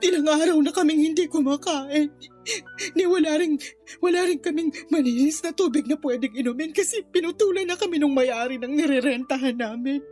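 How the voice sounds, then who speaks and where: Actress doing a crying ashamed poor mom in a radio drama, high pitched n pleading, music behind. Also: female voice, fairly quick, darkish tone, natural voice. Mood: tearful shame. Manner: tearful, pleading, self-blaming confession. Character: remorseful impoverished mother, a runaway aunt, ashamed and grieving. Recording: music bed under the voice.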